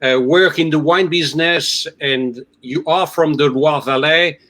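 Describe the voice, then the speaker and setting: A man from France with a French accent, speaking hesitantly with many pauses as a winery representative on a video call. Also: a little high, bright, forceful tone. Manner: slow, hesitant, many filler sounds. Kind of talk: virtual wine tasting chat.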